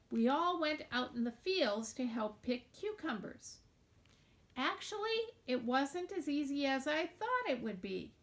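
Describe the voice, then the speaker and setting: High-voiced woman reading a storybook slowly. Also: fairly bright tone. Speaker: teacher reading a children's novel aloud. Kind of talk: storybook read-aloud.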